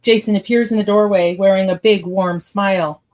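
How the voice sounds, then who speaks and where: A woman's voice: mid-range voice, steady tone, moderate pace, darkish tone. A woman reading stage directions as the narrator of a live radio theatre script read.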